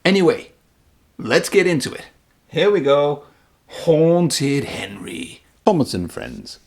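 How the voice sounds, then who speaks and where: A man's voice: fairly high pitch, very animated, slow. A man presenting and narrating a read-aloud storybook for children.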